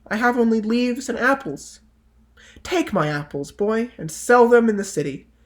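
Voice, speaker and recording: A man voicing a gentle, loving female tree in a picture-book read-aloud, coaxing and tender. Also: very high-pitched, animated, normal speed, pitched above the performer's own voice. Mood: kindly, giving.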